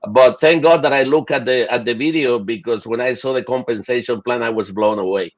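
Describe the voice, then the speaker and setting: A man's voice: medium pitch, a little fast. A middle-aged man from Chile and Rico, talking enthusiastically as an interview guest with many fillers and restarts. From Chile and Puerto Rico. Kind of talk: casual interview. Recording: dull lo-fi audio.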